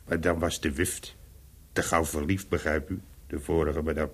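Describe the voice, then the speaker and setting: A man's voice: rumbling low, steady tone, moderate pace, husky. A man acting a talkative, deferential hotel servant in a radio play, with a hesitant, explaining manner.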